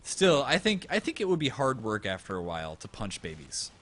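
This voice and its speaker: A man's voice: medium pitch, huge pitch swings, a little fast, a bit bright. A young man casting a live video game match, joking and rambling while losing his voice. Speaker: esports caster.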